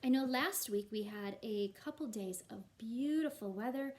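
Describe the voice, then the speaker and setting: A woman's voice: a little high, moderate pace, darkish tone. A woman, a primary school teacher, chatting to young pupils in an encouraging way. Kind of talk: read-aloud and chat to young pupils. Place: at home.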